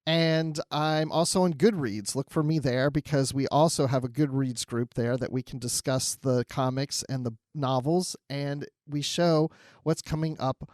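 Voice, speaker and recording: A man's voice: medium pitch, measured pace, hardly any pauses, a little mellow, dry recording, clean studio sound. A man co-hosting a podcast, talking casually and conversationally through a review. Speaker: podcast co-host.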